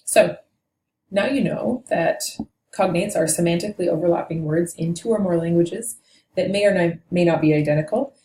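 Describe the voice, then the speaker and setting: A woman's voice: lowish voice, dark tone. A woman teaching a linguistics lesson, explaining patiently and correcting herself now and then. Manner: measured and explanatory, with occasional self-corrections. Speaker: language teacher. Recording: echoey room with faint noise.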